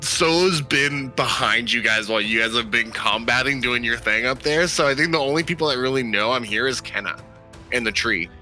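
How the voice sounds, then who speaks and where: Bright, forceful-voiced man at game table. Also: mid-range voice, a little fast, faint music behind. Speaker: player in a tabletop roleplaying game. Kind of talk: casual game-table talk, narrating his character's moves.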